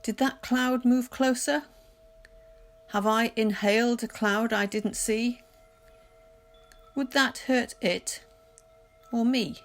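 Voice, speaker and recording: A woman reading poetry aloud in a measured, literary recitation, deliberately pausing phrase by phrase. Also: a little high, fairly even intonation. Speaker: poetry reader. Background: music.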